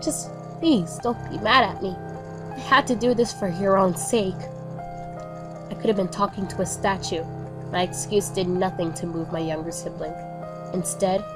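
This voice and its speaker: Woman reading a horror story over music. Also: on the low side. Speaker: narrator and reader of a horror story. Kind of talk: dramatic first-person creepypasta reading. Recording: music under the voice.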